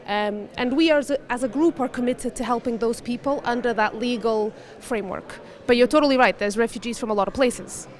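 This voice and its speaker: A woman's voice: a little high, a little fast, few pauses. Articulate woman. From Portugal. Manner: articulate, explanatory. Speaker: refugee volunteer. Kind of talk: interview answers.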